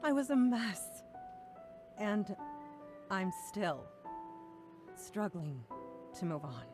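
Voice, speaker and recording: A woman voicing an elegant older sister figure who speaks softly and affectionately. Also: medium pitch, very animated, slow, music in the background. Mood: pained. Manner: soft, affectionate, calls others darling. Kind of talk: visual novel voice acting.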